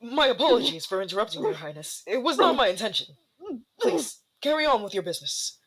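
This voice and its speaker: A man's voice: very high-pitched, very animated, brisk, over a noisy crowd, full character voice. A man voicing a deferential, easily panicked castle servant in a fantasy ASMR roleplay, formal and apologetic.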